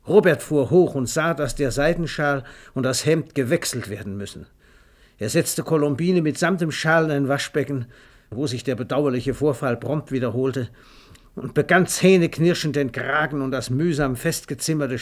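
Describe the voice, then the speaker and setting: A man narrating a scripted literary radio drama in a precise, dry, wry manner. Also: mid-range voice. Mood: dryly amused.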